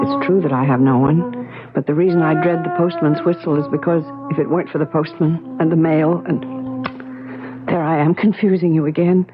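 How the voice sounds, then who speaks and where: An actress playing an anxious, probing wife who recalls her past in a theatrical, deliberate old-time radio mystery style. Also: low-pitched, lightly acted. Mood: wistful, reflective. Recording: dull vintage radio recording with music.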